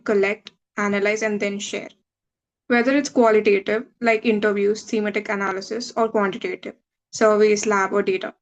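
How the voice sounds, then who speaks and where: A woman in her twenties from India, a medical student giving a webinar talk over a video call, explaining steadily with some filler uhs. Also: a little high. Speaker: guest speaker, medical student. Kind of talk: webinar presentation reading slides.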